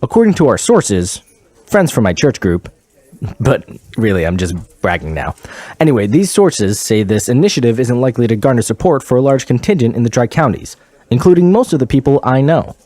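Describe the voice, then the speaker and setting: A smug, condescending male local TV anchor, played by an actor in a satirical newscast. His voice is mellow and his pitch moves around in a lively way as he bluntly airs his opinions. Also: fairly quick, no room sound. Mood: boastful, blunt.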